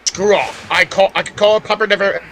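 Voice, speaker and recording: A man's voice: sits high, steady tone, a little fast, fairly bright tone, slight background noise. A man co-hosting a casual, opinionated chat, insistently restarting his phrases to make a point. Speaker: podcast co-host.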